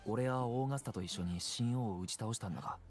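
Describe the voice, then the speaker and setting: A man's voice: mid-range voice, very fast, darkish tone, lightly acted. A man voicing an earnest young warrior in a video game, plain and direct in his questioning. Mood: insistent, confused. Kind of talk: Japanese video game voice acting. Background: video game music.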